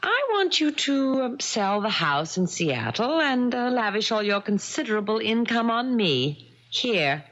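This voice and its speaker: An actress playing a cool, devious wife in a radio mystery, with a sly, sardonic and pointedly polite manner. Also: female voice, on the high side, sing-song, measured pace, a bit bright. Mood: calculating, demanding. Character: cool, devious wife coolly scheming against her bigamist husband. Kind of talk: 1970s radio mystery acting. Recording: dull, lo-fi old radio broadcast.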